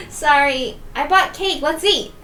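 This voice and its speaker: Shy, friendly young twin, acted by a woman, high bright voice, echoey room. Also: lively intonation, extremely slow, full character voice. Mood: cheerful, laughing. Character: timid but friendly young woman, visiting twin sister. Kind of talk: visual novel character reading. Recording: roomy, distant mic with faint noise.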